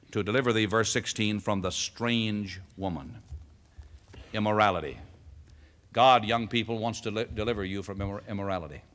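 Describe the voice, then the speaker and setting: A middle-aged man preaches from a pulpit stand mic in a large sanctuary, his voice bright and forceful. He climbs to loud, emphatic exhortation and then sinks into quieter, lower passages. A long hall reverb trails every phrase. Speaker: pastor. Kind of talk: sermon. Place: large church sanctuary with choir behind.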